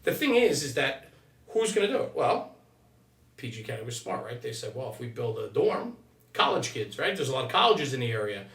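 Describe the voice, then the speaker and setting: A man talks through his views in an emphatic, conversational way, often checking in with "right?" His mid-pitched voice sounds roomy, set back from the microphone. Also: big pitch swings, fairly quick, fairly bright tone. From United States. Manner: emphatic, punctuates points with "right?". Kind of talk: conversational opinion, explaining at length. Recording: roomy echo, distant from mic.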